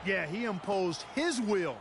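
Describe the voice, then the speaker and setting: A man's voice: high-pitched, lively intonation, unhurried, vocal fry, heavy background noise, exaggerated voice. A man playing a basketball color analyst in a video game, conversational and emphatic in his remarks. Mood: admiring. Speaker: color commentator. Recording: game stream with loud music and court sounds. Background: loud music, basketball court sounds.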